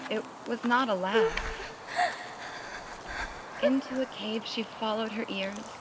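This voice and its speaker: Slow-reading woman storyteller over prominent music. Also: on the high side. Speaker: children's storyteller. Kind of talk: expressive read-aloud of a rhyming children's story.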